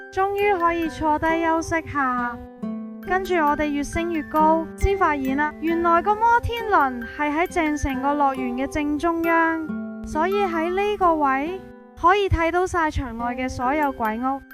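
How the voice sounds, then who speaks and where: A woman with a Hong Kong Cantonese accent narrating a scripted first-person ghost story. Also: very high voice. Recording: prominent music behind.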